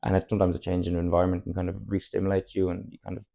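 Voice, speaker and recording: A young Irish man in his twenties, a native Irish speaker, talks at a rapid clip in a low, gravelly voice. He rambles candidly through his answers and chuckles often as a podcast guest. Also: completely flat delivery, warm tone, lo-fi sound. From Ireland, Cork. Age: 20s. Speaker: footballer guest. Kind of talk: casual podcast chat.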